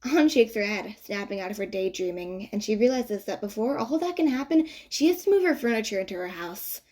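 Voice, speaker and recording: A woman narrates a story in a mid-pitched voice. Her reading is scripted but conversational, with expressive, playful emphasis on asides. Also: brisk. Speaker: audiobook narrator of fan fiction.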